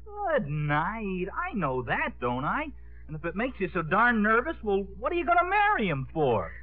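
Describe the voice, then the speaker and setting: A man's voice: high-pitched, wildly swooping pitch, moderate pace. A gawky, exasperated American boy with a voice that leaps wildly up and down. He sounds dim, whiny and incredulous, on a tinny old radio broadcast. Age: young adult. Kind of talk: 1950s radio comedy-drama acting. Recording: tinny vintage radio broadcast, faint music.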